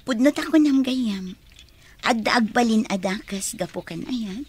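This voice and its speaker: A woman playing a gentle woman in love in a radio drama, with a husky, breathy voice. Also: fairly high pitch, animated, slow, exaggerated voice. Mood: amused, reflective. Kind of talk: Ilocano radio drama acting.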